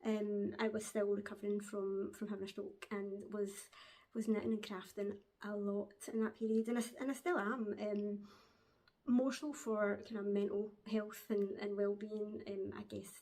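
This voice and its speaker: A Glaswegian woman hosting a crafting podcast from home, chatting casually to camera, hesitant with frequent ums and quick laughs. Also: medium pitch, little pitch movement, dark tone. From Glasgow, Scotland. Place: at home, seated with a dog on her lap.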